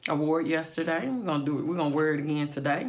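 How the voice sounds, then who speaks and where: A woman with a slightly raspy morning voice, chatting casually through a makeup tutorial with plenty of fillers and asides to her viewers. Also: low voice, very fast. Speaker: beauty vlogger.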